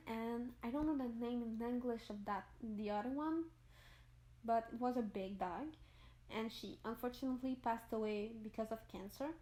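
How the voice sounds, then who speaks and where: A woman's voice: on the high side, mellow, poor audio. A young French Canadian woman, French her first language, narrating a game-building video in a rambling way and often searching for words. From Montreal, Quebec. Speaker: gaming YouTuber.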